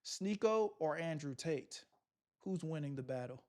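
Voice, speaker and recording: A young man streaming live, chatting casually with his viewers in loud, laughing, slang-heavy banter. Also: on the high side, lively intonation, a little slow. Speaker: streamer reacting to videos.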